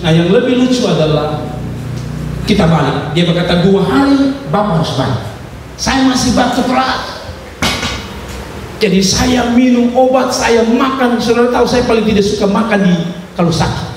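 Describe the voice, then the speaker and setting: A man preaches in a high, lively voice, playing out the exchanges of his own story. He swings from shouts down to quiet asides. He is heard from a distance in a large, reverberant church hall with faint ambience behind him. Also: slight background noise. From Indonesia. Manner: animated storytelling, acting out dialogue, swings between shouts and low asides. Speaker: pastor. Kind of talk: sermon with personal testimony.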